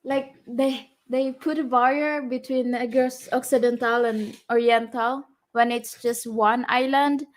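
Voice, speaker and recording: A woman's voice: a little high, steady tone, measured pace, hardly any pauses. A woman with a Filipino accent chatting casually as a co-host, hesitant and often searching for words. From Philippines. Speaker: vlog co-host. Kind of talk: casual unscripted chat.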